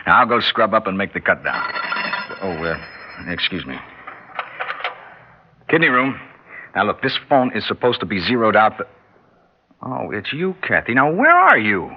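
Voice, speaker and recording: A man's voice: fairly low pitch, wildly swooping pitch, moderate pace, pauses often, slightly rough, full character voice. An actor playing an earnest, reassuring and authoritative male surgeon in an old-time radio drama. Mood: brisk. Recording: muffled vintage radio recording.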